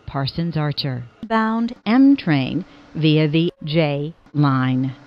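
A woman delivers scripted transit announcements in a slightly low voice. Her delivery is slow and formal, with lively rises and falls between stitched phrases. The recording is dull and lo-fi. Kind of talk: scripted train station announcements.

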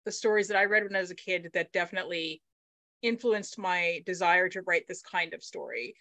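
A woman's voice: on the low side, brisk, bright, forceful tone, no room sound. A middle-aged woman from Manitoba, chatting on a video call from her home study, thinking aloud with many fillers and restarts. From Manitoba, Canada. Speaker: author guest. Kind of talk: casual conversation on a video call. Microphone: laptop webcam mic on video call.

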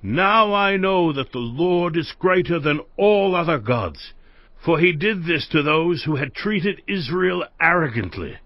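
A man voicing Jethro, a wise older priest giving measured counsel. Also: on the high side, animated, dry recording, lo-fi sound. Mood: advising.

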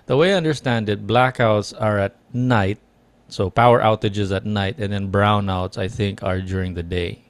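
A man's voice: lowish voice, fairly even intonation, normal speed, clean tone, dry recording. A man from the Philippines whose first language is Tagalog, co-hosting a casual podcast over a video call, thinking aloud with many restarts. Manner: reflective, circling back with restarts. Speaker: podcast co-host. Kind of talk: casual chat. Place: small room with dark curtain, indoors. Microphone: headset and studio mic on video call.

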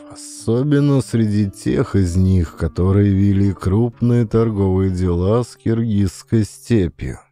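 A man's voice: fairly low pitch, warm tone. A man narrating a literary audiobook in a dramatic storytelling style.

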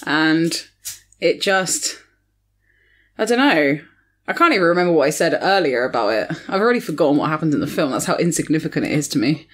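A woman's voice: deep voice, expressive, a little fast. A British woman in her twenties chatting casually and bluntly as a guest on a film review podcast.

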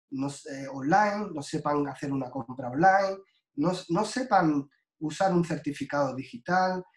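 Man lecturing slowly over a video call. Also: a little high, roomy sound. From Spain. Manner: slow and deliberate, many fillers and restarts. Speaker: guest lecturer.

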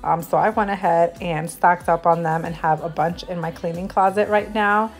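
A woman's voice: fairly low pitch, steady tone, normal speed. A woman who is a stay-at-home mom, chatting through a voice-over for her clean-with-me video. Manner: chatty, upbeat product talk with fillers. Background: faint background music.

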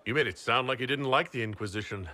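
Guy voicing game hero leader, humble, low forceful voice, kinda husky, talks fast. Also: natural voice. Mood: thoughtful. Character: modest, thoughtful male hero, leader of an army. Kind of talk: video game drama acting.

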